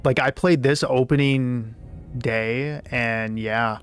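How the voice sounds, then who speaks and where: A man with a raspy voice streaming a game, chatting in a relaxed, rambling way with frequent restarts. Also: medium pitch, slow. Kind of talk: casual gameplay commentary. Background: game music.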